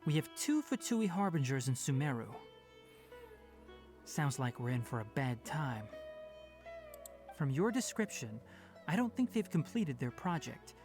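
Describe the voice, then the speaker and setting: A woman voicing a composed young male scholar in an anime video game, measured, articulate and thoughtful. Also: low voice, big pitch swings, a little mellow, soft background music. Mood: serious, resolved.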